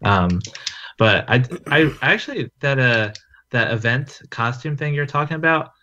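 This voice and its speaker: A man in his twenties guesting on a gaming podcast, talking in a relaxed, measured way. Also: medium pitch, muffled recording, a little hiss or hum. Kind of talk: casual chat.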